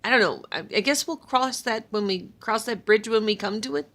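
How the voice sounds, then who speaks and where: A woman's voice: a little high, normal speed, fairly bright tone, close to an everyday voice. Woman voicing a stammering trickster god. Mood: exasperated. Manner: hesitant, stammering, defensive.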